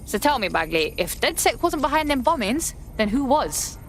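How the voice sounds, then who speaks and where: Cocky London girl, high and lively. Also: normal speed, a little hiss or hum. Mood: questioning. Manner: slangy, brash. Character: streetwise, cocky young woman recruit, defiant. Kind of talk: video game voice acting.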